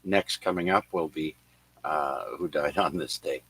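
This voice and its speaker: A man hosting an amateur radio net, reading notes on who was born and who died on this day, with many filler pauses. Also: rumbling low, a bit bright, slightly rough, no room sound. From Canada. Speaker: radio net control host. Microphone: radio transceiver microphone.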